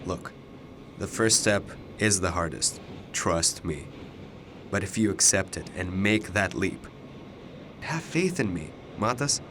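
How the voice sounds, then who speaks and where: An actor in a radio drama playing a mysterious older alternate self, fatalistic and persuasive, speaking in a deliberate, ominous way. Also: male voice, deep voice, lively intonation, background noise, exaggerated voice. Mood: coaxing, urgent.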